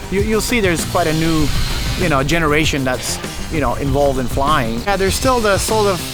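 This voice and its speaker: Higher-pitched man chatting over loud music. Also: expressive, normal speed. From Thailand. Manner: chatty, acts out quoted remarks. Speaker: flight instructor being interviewed. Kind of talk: casual interview talk.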